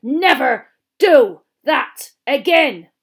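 Storybook role, female reader: fierce old male professor, gravelly, growling, menacing, blunt delivery. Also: high voice, moderate pace, a bit bright, pitched above the performer's own voice. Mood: angry, growling.

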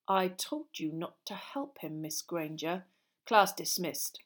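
A woman voicing a cold, bullying male potions teacher in an audiobook, with a slow, scornful, drawn-out delivery. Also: on the low side, lively intonation, fairly bright tone, full character voice.

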